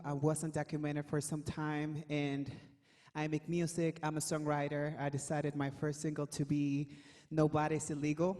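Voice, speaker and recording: A man from Chile introducing himself quietly and briefly as a songwriter and immigrant. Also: fairly high pitch. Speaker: singer-songwriter guest.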